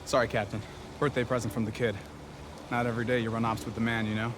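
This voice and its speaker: Guy playing easygoing cop lieutenant, fast, flat pitch, game scene, noisy street behind. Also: natural voice. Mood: casual, respectful. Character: easygoing male police lieutenant, respectful. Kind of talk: video game voice acting. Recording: loud outdoor vehicle noise.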